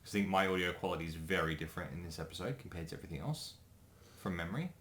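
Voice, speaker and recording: A man's voice: deep voice, lively intonation, racing, fairly bright tone, slight background noise. A man co-hosting a commentary who chats casually and often corrects himself mid-sentence. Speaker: co-host and voice actor.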